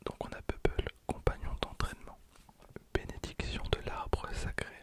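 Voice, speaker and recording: Whispering young Frenchman, soft ASMR delivery. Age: 20s. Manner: soft, unhurried, repeats phrases slowly. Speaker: ASMR video creator and host. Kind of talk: ASMR whispering, card pack opening commentary. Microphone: handheld recorder used as close ASMR microphone.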